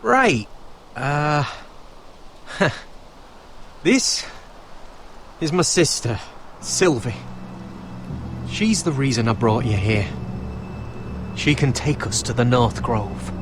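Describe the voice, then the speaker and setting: A man's voice: medium pitch, lively intonation, slow pace, pauses often, noisy background. An actor voicing a wounded young wildling man in a video game, defensive and grumbling. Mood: relieved, earnest. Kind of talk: dramatic video game acting.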